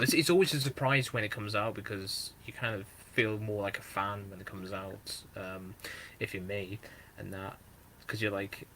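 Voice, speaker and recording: A lower-pitched man with a Northern English accent and a bright, forceful tone, chatting loosely as a podcast host. He rambles, stopping and restarting his thoughts. A faint hiss of noise sits behind his voice. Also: poor audio. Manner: rambling, many fillers and restarts. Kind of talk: casual podcast chat.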